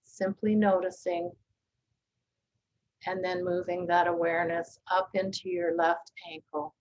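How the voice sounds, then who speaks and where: A woman leading a guided body scan meditation, speaking gently and calmly with long, unhurried pauses. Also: lowish voice, highs cut off. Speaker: meditation teacher.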